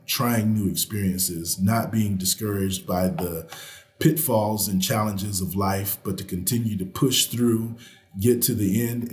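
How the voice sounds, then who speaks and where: A man's voice: on the low side, a little mellow, roomy sound. A man speaking as a guest on a podcast, earnest and encouraging. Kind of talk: casual interview chat.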